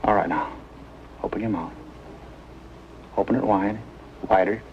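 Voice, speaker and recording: An American man playing a calm, plain-spoken doctor with a folksy, measured manner in a 1950s TV drama. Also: on the low side. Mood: calm, instructive. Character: calm, plain-spoken male doctor, reassuring with young patients. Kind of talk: 1950s television drama acting. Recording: muffled, noisy old 1950s TV soundtrack.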